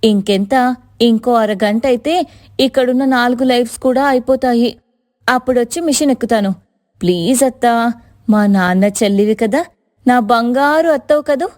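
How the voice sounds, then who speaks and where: A woman voicing a lazy young daughter-in-law in an animated story, whiny and sweet-talking. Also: a little high, moderate pace, voice pushed down, natural voice. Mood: lazy, wheedling.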